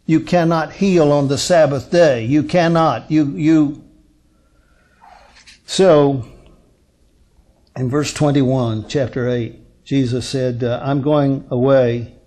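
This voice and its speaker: An older man gives a sermon as a pastor, taking scripture apart at an unhurried pace. His voice is mellow and mid-pitched. He speaks deliberately, leaning on key words and pausing between short phrases. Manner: deliberate, emphatic, with rhetorical questions and pauses. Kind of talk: sermon, expository Bible teaching from a lectern. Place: indoor lectern on a curtained stage.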